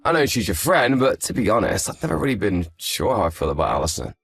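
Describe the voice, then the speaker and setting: A man's voice: fairly low pitch, sing-song, fast, creaky, no room sound, full character voice. A man playing an earnest, frank young husband in scripted story dialogue, speaking expressively. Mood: chatty, frank.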